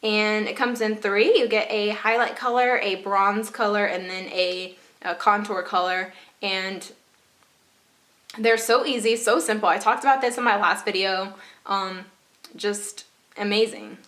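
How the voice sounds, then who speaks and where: A woman's voice: medium pitch, steady tone, unhurried, a bit bright. A young woman with a stuffy, congested voice chatting casually through her favorite makeup products, with lots of fillers and repeated praise. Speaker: beauty vlogger.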